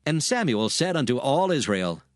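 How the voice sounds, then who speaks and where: A man narrating a dramatized scripture reading in a formal, measured, solemn way. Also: mid-range voice, sing-song, dry recording, clean studio sound, close to an everyday voice.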